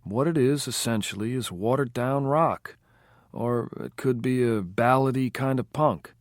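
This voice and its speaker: A man's voice: mid-range voice, normal speed, dry recording, studio quality, pitched above the performer's own voice, natural voice. A man voicing an opinionated male rock fan who lectures and lists bands. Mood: lecturing. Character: male rock-music know-it-all, opinionated.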